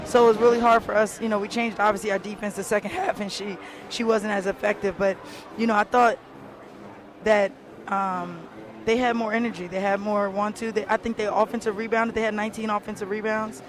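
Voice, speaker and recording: A woman's voice: medium pitch, level tone, a little fast, a crowd in the background. A woman coaching college basketball, giving a matter-of-fact, analytical postgame radio interview off the cuff.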